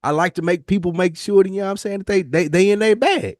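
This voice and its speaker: A man's voice: sits high, quick pace, darkish tone, no echo, studio quality. A male podcast co-host chatting and debating casually in a slangy, profane, insistent way.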